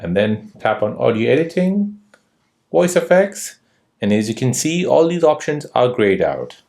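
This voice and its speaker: A man's voice: medium pitch, big pitch swings, measured pace, creaky, a little mellow. A man hosting a step-by-step tutorial, narrating in a scripted instructional manner with sing-song emphasis. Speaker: tutorial host.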